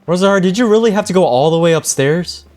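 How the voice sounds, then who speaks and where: Casting: male game streamer, casual and slangy, very animated intonation, game music behind. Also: sits high, fast. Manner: slangy, expressive. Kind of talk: casual live reaction commentary.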